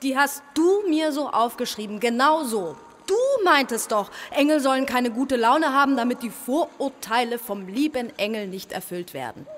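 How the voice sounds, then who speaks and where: Actress as formal official in reverberant hall. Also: female voice, on the high side, moderate pace, hardly any pauses, natural voice. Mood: indignant. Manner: formal, declamatory. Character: strict female official chairing heaven's assembly. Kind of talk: German radio play acting. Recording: long hall reverb, faint noise.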